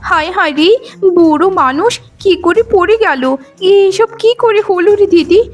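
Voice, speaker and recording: A woman's voice: extremely high pitch, normal speed, faint music behind. A woman voicing a conniving, meddling young sister with an exclamatory, accusing manner in a melodramatic cartoon. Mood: alarmed. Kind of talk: melodramatic cartoon moral-story voice acting.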